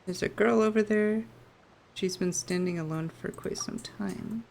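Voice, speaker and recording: A woman's voice: medium pitch, unhurried, gravelly, darkish tone, a little hiss or hum. A woman giving casual, playful running commentary as she plays a game, reacting in an offhand way. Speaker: game streamer.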